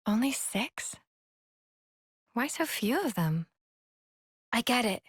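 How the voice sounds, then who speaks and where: A husky, high-voiced young soldier girl. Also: sing-song, slow pace, no echo, clean studio sound, full character voice. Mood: curious. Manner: questioning, earnest. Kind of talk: video game cutscene acting. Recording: music behind the voice.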